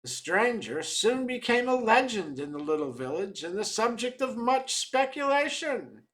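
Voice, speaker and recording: A man reads a short tale as a storyteller. His delivery is slow and deliberate, with a measured, dramatic touch. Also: high voice, fairly bright tone.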